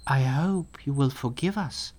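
A man's voice: mid-range voice, measured pace, darkish tone, voice pushed up, close to an everyday voice. A man voicing curious young male disciples in a storybook reading, raised and eager. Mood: apologetic.

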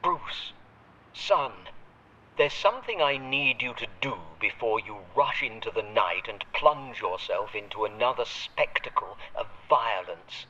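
Man playing slow, raspy old butler, radio-filtered. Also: medium pitch, pushed and bright, lo-fi sound, exaggerated voice. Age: older. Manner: formal, measured, deferential yet tender. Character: devoted old butler, fatherly, sentimental, formally polite. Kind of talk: audio drama acting.

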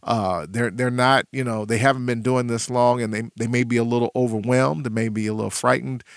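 A middle-aged man, a pastor, hosting an interview in a gentle, pastoral way. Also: mid-range voice, fairly even intonation, brisk, creaky, no echo, studio quality. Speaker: pastor and host.

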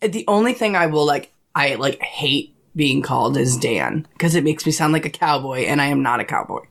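A young man with a high voice that gets taken for a woman's, a Broadway actor chatting as a guest in a bubbly way, with lots of fillers and laughs. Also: expressive, brisk, creaky, fairly bright tone. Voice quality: high-timbred voice often taken for a woman's on the phone. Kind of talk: casual podcast chat.

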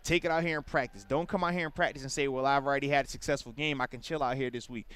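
A man co-hosting a casual sports talk show, breaking down football plays in a relaxed way and dropping "man" often. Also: medium pitch, rapid-fire, clean tone, no room sound. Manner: relaxed, explains with repeated "man". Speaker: sports show co-host and analyst.

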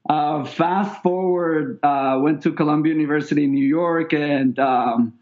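A man's voice: a little high, measured pace, phone-quality sound. A man from Venezuela with a Venezuelan Spanish accent, explaining things conversationally as an interview guest. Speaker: company founder, interview guest.

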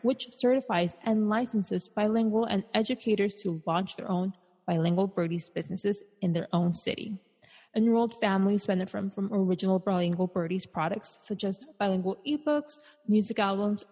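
A woman's voice: mid-range voice, darkish tone, husky. A woman podcast host reading a guest introduction and interviewing, mixing in Spanish words. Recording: long reverb.

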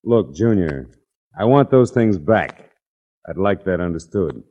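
Deep, gruff, domineering radio heavy. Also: male voice, lively intonation, measured pace, warm tone. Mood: demanding. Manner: gruff, commanding. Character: brusque, domineering, disagreeable wealthy male host. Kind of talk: 1940s radio drama acting. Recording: dull 1940s radio recording.

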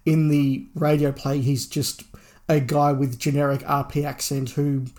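A man's voice: on the high side, little pitch movement, unhurried, a little mellow. A man co-hosting a podcast, casually discussing and reviewing a book, feeling his way through his phrasing with repeated words. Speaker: podcast co-host.

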